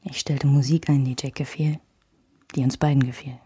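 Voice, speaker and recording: Radio drama narrator: candid, wistful woman, low mellow voice, intimate dry delivery, reflective. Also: little pitch movement, moderate pace, husky, no room sound, full character voice. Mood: tender, intimate. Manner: reflective, alternates German with English phrases. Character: woman recalling a love triangle, wistful and candid. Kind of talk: intimate first-person scripted radio narration.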